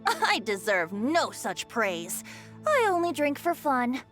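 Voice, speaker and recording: Polite, modest young noblewoman for a game; high, lively intonation, formal, playfully self-deprecating. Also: slow pace, faint music behind, exaggerated voice. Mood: modest, amused. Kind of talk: video game voice acting.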